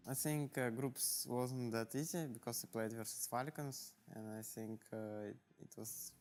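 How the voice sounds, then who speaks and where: A man's voice: medium pitch, fairly even intonation, unhurried, a little mellow. A man, a professional player, speaking plainly and hesitantly in an interview. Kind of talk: post-match player interview.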